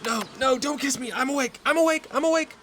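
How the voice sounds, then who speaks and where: Man acting shrill, frantic horror-comic husband. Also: big pitch swings, slow pace, sharp tone, heavily acted. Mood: desperate pleading. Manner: shrill, pleading, melodramatic. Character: frantic, disgusted plantation husband tormented by zombie wife.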